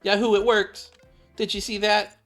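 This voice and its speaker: A man's voice: sits high, flat delivery, normal speed, a bit bright, voice pushed up. Man putting on an eager, plucky android girl. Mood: excited. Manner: eager, determined, exclamatory. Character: earnest, plucky young female android survival expert. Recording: game music behind the voice.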